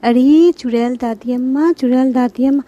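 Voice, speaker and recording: A woman voicing a clever young girl in a children's cartoon, calling out and coaxing in drawn-out pleas. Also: sits high, mellow, muffled recording, voice pushed up, natural voice.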